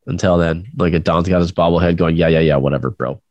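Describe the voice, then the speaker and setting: Male co-host, very low voice, congested from a cold, jokey and rambling; dry close-mic sound. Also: fairly even intonation, fast talker, a little mellow, no room sound, lo-fi sound. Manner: joking, rambling, self-deprecating. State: stuffed up with a cold. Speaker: podcast co-host. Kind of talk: casual podcast chat.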